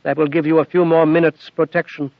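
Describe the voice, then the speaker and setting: A man voicing a gentle, dutiful female artificial intelligence aboard a spaceship, speaking earnestly and plainly, in a dull, lo-fi recording. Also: a little high, little pitch movement, a little fast, gravelly, no echo. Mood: calm.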